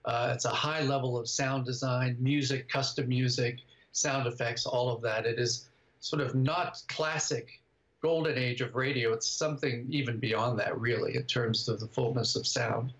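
A man's voice: medium pitch, steady tone, normal speed, a bit bright. An older man, a guest on a video call, giving thoughtful interview answers. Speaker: guest writer and director. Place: home study indoors, over video call. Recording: dull video-call audio.

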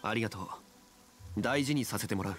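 A man playing a calm, dignified scholar of ancient gravity in an anime game, speaking in a composed, measured, formal way. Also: lowish voice, close to an everyday voice. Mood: calm, explanatory. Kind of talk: anime video game voice acting.

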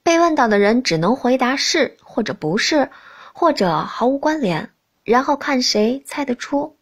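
A woman narrating a novel in the first person as an expressive audiobook reader. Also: high-pitched, normal speed, slightly rough.

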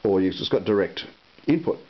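A man giving a casual, unscripted product demonstration in a halting, unhurried way. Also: fairly low pitch, expressive. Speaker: product reviewer. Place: indoor room at a table. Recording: muffled lo-fi audio with hiss.

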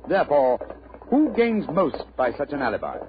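A man playing a confident gentleman detective with a British accent, brisk and superior, in 1940s radio acting. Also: on the high side, wildly swooping pitch. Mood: amused, assured. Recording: muffled, noisy old radio recording.

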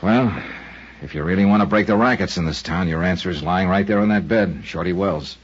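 An actor playing a clipped, wry insurance investigator who narrates a 1950s radio drama. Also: male voice, low voice, brisk, breathy edge, no room sound. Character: hard-boiled insurance investigator man. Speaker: detective and narrator. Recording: dull 1950s radio recording with music.

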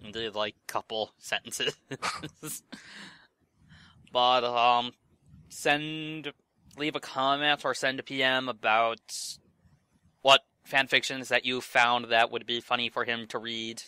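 A man's voice: mid-range voice, fairly even intonation, slow, long pauses, sharp tone, clean tone, dry recording. A man chatting casually as a gaming co-host, jumping in with joking remarks. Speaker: gamer co-host commenting while playing.

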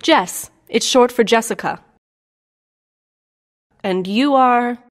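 Higher-voiced woman reading lesson dialogue. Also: slow pace. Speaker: dialogue reader. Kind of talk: scripted textbook dialogue reading.

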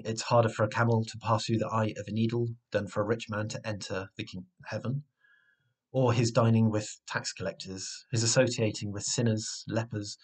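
A man in his twenties with a slightly husky voice, giving a measured, earnest sermon, recorded indoors at home on a device mic. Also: lowish voice. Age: 20s. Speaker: preacher. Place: small room at home, indoors. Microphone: webcam or device mic at arm's length.

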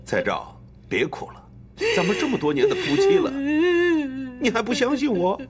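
Whiny high-voiced cheating husband. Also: male voice, huge pitch swings, moderate pace, noisy background, full character voice. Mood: wheedling, aggrieved. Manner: wheedling, whining. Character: whiny cheating middle-aged husband, wheedling and self-pitying. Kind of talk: TV melodrama acting.

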